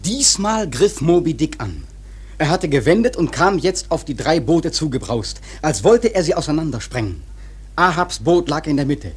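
An actor playing an earnest sailor who narrates a sea tale in a radio drama, husky and intense. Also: male voice, a little high, heavily acted.

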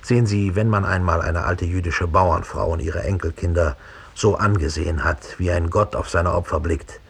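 Hateful former Nazi murderer, deep creaky voice, disdainful confession, deliberate delivery, German radio drama. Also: male voice, steady tone, exaggerated voice. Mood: proud contempt. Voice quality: gravelly, husky.

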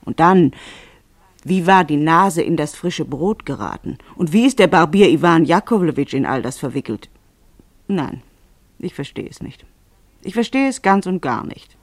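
A man's voice: on the high side, expressive, normal speed, no room sound. A man delivering literary narration in a fussy, bemused, pedantic manner, as if commenting on a tale.

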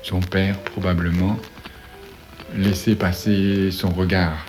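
Male storyteller, low register, reflective and fragmentary delivery, music underneath. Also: little pitch movement, slow pace. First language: French. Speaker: composer telling his story. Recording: music playing behind the voice.

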